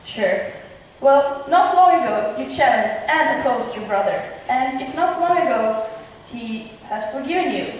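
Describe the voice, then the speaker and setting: An earnest, coaxing attendant played by an amateur actress, loyal and sensible, with a high female voice. The stage sound is distant, with long hall reverb. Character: reasoning, loyal attendant to a gloomy lord. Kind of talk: amateur stage acting of modernized Shakespeare. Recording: distant, very echoey hall, faint noise.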